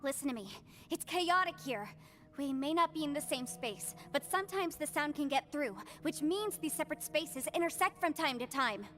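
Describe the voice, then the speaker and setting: A woman voicing an earnest, urgent young female adventurer in a fantasy video game, speaking crisply and emphatically. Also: high voice, animated, moderate pace, fairly bright tone, soft background music. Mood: urgent, explaining.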